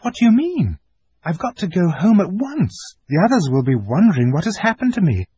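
An earnest young girl, as a reader puts her on, pitched very high and full of worried pleading. The voice is a little husky and dry. It swings widely as she coaxes and scolds. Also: very animated, a little fast, dark tone, no echo, muffled recording. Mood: distressed. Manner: pleading, distressed. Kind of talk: audiobook character voice.

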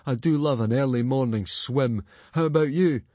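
A man voicing a sarcastic young Viking underdog in a children's audiobook, with a wry, deadpan manner. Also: mid-range voice, moderate pace, breathy edge, no echo, pitched above the performer's own voice. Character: dry, sarcastic Viking boy, loyal underdog friend. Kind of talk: children's audiobook character acting.

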